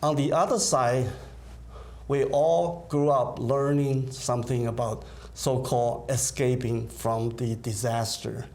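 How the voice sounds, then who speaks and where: A man's voice: mid-range voice, steady tone, a little slow, a little mellow. A man who grew up in Taiwan, an event organizer answering interview questions hesitantly, with many fillers. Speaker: concert organizer.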